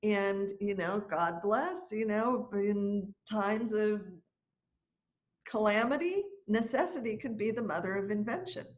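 Woman in her sixties, choir teacher, unhurried animated storytelling, lo-fi webcam audio. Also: mid-range voice. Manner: animated, chatty storytelling with laughs. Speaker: choir leader and music teacher. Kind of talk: storytelling lesson on a video call. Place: room at home, indoors. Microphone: laptop webcam on a video call. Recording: dull lo-fi video call audio.

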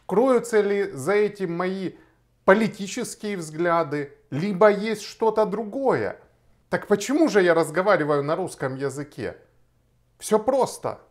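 Man, 30s, from Kharkiv, lawyer-vlogger; emphatic and argumentative, lively pitch, in a small room. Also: unhurried. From Kharkiv, Ukraine. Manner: emphatic, argumentative, gestures while talking. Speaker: lawyer and blogger. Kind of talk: direct-to-camera video reply. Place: small indoor room, plain wall.

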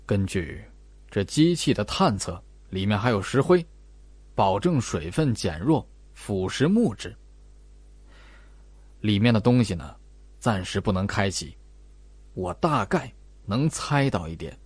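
A man's voice: lowish voice, very animated, a little slow, long pauses, breathy edge, dry recording, pitched below the performer's own voice, heavily acted. A man voicing a condescending, long-winded older male investigator in an audiobook, with a drawling, patronizing way of talking. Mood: explaining. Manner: drawling, patronizing, sentence-final particles. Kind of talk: audiobook character dialogue.

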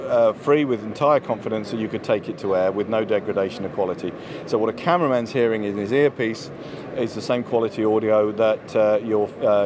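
A man in his forties giving a conversational product explanation as an interview guest, into a handheld microphone. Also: fairly low pitch. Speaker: company executive, interview guest. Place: trade show booth, indoors. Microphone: handheld microphone held by interviewer. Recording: clear busy trade-show floor noise.

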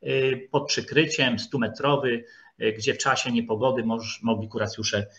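A man giving an online lecture with slides, speaking haltingly with frequent drawn-out filler sounds. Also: medium pitch, steady tone, a bit bright. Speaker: lecturer, historian. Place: online video call. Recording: dull, lo-fi video call audio.

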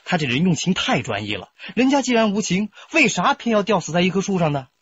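A man's voice: high-pitched, fast, slightly rough, dry recording, full character voice. An actor playing a talkative young rural man who defends his cousin under questioning. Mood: chatty. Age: young adult. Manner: chatty, animated, defensive. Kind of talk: broadcast radio drama acting. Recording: dull lo-fi broadcast audio.

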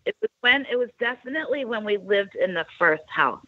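A woman from Long Island telling her faith testimony as a radio guest, halting and searching for words. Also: medium pitch, expressive, dull audio, a little hiss or hum. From Long Island, New York.

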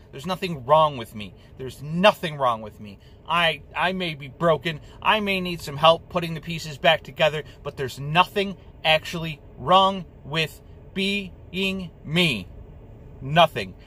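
A man's voice: on the high side, a little slow, sharp tone. A young man vlogging from inside his car at night, talking heatedly and repeating phrases for emphasis, swearing now and then. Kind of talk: impassioned monologue to camera. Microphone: dashboard camera facing driver.

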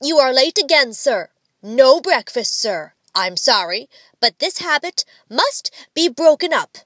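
A bossy storybook boy acted out by a woman reader puts on his father's stern airs. The voice is pitched up high and loud, with pompous, emphatic scolding delivered at a measured pace. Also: very animated, dry recording, full character voice.

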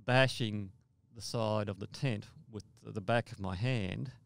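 A man's voice: on the low side, a little slow, vocal fry, dry recording. An older man telling a first-person story conversationally as a podcast guest, often repeating and rephrasing his words.

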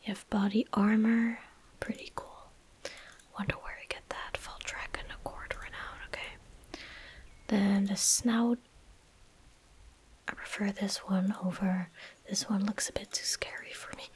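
Female ASMR gamer, twenties: whispered, very breathy delivery, gentle and unhurried, close-miked. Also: mid-range voice, steady tone. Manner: slow, unhurried, gentle murmuring. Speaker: ASMR streamer. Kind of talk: soft-spoken ASMR game commentary. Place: small indoor room at a desk. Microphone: close desk microphone.

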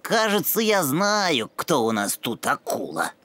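A woman voicing a brainy, earnest older boy dog in an animated cartoon, with a fussy, flustered manner. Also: low-pitched, a little slow, noisy background. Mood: puzzled, suspicious. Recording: music behind the voice.

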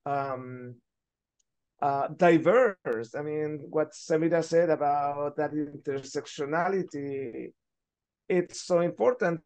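A middle-aged man from Chile, speaking as a panelist on a video call through a headset, thoughtful and halting as he searches for words. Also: on the high side, smooth. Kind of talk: reflective panel discussion.

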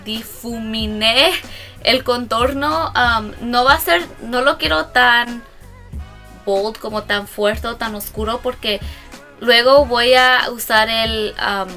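A young woman with a bright, slightly high voice talks through a casual makeup tutorial in a small room, speaking to a camera close to her face. She is a native English speaker who hesitates, corrects herself and slips English words in. Faint music plays underneath. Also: slow pace. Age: young adult. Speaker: beauty vlogger.

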